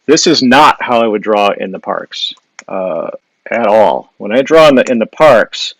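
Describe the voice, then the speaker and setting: A man's voice: lowish voice, animated, unhurried, a bit bright, no room sound. A man from Wisconsin with a Wisconsin accent, an illustrator thinking aloud as he draws and answers viewer questions on a live stream. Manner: thinking aloud while sketching, with frequent fillers and restarts. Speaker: illustrator giving a live drawing demo. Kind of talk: casual live-stream drawing demo, reading viewer questions. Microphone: device mic on a live social video stream.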